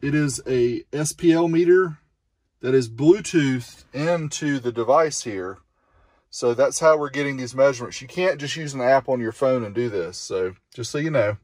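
A man's voice: mid-range voice, normal speed, a little mellow, dry recording. A middle-aged man hosting a casual car audio review, chatty and walking through specs step by step, recorded outdoors on a driveway and then inside a vehicle.

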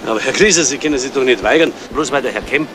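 An actor playing an excitable, talkative man in a 1970s crime radio play, animated and quick to protest. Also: mid-range voice, background noise, natural voice.

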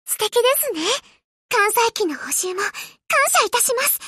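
A woman voicing a gentle, eager young ship girl in an anime game, sweet, polite and earnest, a little hesitant. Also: very high voice, lively intonation, normal speed, dry recording, full character voice. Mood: sweet, grateful. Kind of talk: Japanese anime game character voice lines.

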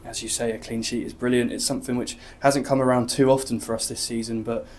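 A man's voice: mid-range voice, little pitch movement, fast, a little hiss or hum. A man interviewing a player for the club's channel, asking chatty, leading questions. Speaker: club media interviewer. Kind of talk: post-match interview questions.

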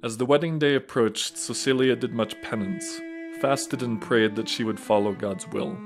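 A man's voice: mid-range voice, normal speed. A man reading scripted narration of a saint's life as the narrator of an audio drama. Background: plucked guitar music.

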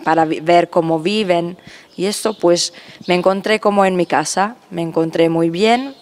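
Novice in her 30s, chill and friendly, handheld mic, on the low side. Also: moderate pace, darkish tone. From Czech Republic. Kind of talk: interview answers. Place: cloister garden outdoors.

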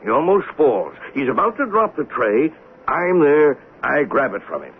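An American actor playing an agitated eyewitness in a 1970s radio drama, recounting a crime insistently, step by step. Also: male voice, on the high side, big pitch swings, normal speed. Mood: intent, explaining. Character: agitated male eyewitness reasoning out a poisoning. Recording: tinny old radio broadcast, faint noise.

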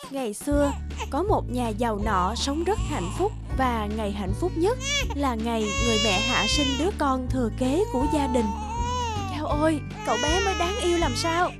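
A woman reading scripted narration for an animated children's story. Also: sits high, normal speed. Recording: music behind the narration.

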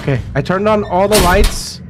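A man chatting casually with friends while playing a game, excitable and quick to swear. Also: high-pitched. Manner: profane, excitable. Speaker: gaming video player. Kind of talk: casual gameplay chat with friends.

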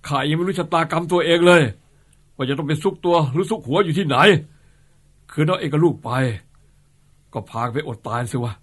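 A man playing a rough middle-aged outlaw leader who gives orders in coarse, gruff rural speech. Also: on the high side, fairly quick, long pauses, close to an everyday voice. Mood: tender. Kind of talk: radio-style drama acting.

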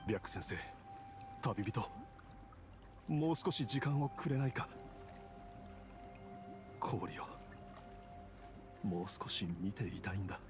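A man's voice: fairly low pitch, animated, takes it slowly, pauses often. An actor playing an ailing young man in an anime game, earnest, wistful and resigned to sacrifice. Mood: resigned, sorrowful. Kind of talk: Japanese anime game acting. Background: background music.

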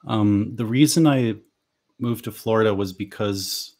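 A man's voice: lowish voice, measured pace, darkish tone. A young man from Lithuania, a native Lithuanian speaker, chatting casually on a livestream from a small bedroom through a headset, hesitant with frequent ums. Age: young adult. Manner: hesitant, many ums and restarts. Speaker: author hosting a livestream. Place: small bedroom at home, indoors.

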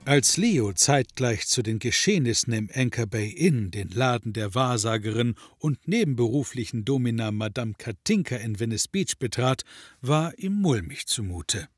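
A man's voice: mid-range voice, expressive, normal speed, breathy edge, dry recording, clean studio sound, soft background music. A man narrating a scripted comedy audio drama in a wry, descriptive storytelling manner.

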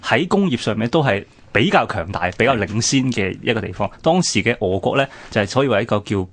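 A man's voice: fairly low pitch, animated, a little fast. A man with a Hong Kong Cantonese accent gives conversational history commentary in an explanatory way. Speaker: radio programme presenter. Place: radio studio.

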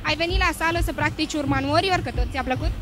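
High-voiced woman asking quick questions. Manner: brief, direct questions. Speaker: interviewer. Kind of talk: vox pop interview questions. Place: large indoor mall with a crowd. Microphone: handheld mic. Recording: music and crowd noise behind.

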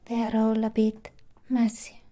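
A young woman with a thick foreign accent playing a caring, possessive android doll in soft, whispery ASMR roleplay. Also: fairly high pitch, monotone, unhurried, natural voice. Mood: tender, devoted. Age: young adult.

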